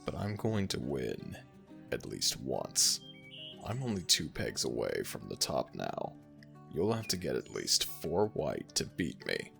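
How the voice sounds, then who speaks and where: A man voicing an ancient jackal god in an audio roleplay, gentle, teasing and fond toward the listener. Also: very animated, soft background music, heavily acted. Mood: playful warm. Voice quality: very deep gravelly voice, slow and soothing. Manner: affectionate, playfully self-mocking.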